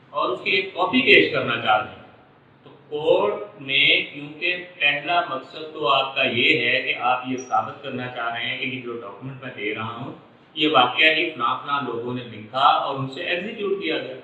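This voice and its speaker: A man with a Pakistani accent and a very bright, forceful voice gives an explanatory lecture, going over each point step by step. He sounds set back from the microphone in a strongly echoing room. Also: mid-range voice. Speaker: law lecturer. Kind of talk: explanatory lecture with worked examples. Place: echoey indoor room. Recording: echoey room, distant mic, muffled, faint noise.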